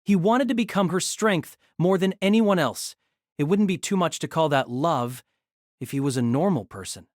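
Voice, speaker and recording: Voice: mid-range voice, animated, normal speed, dry recording, clean studio sound. A narrator reading scripted third-person story narration for an audio drama.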